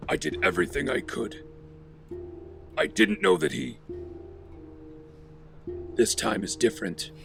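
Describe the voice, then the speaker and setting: A man's voice: a little high, very animated, a little slow, vocal fry, pauses often, fairly bright tone, heavily acted. A man playing a brooding, driven vigilante hero in an audio drama, grave, intense and earnest. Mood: stern, pleading. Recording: dramatic music underneath. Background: music.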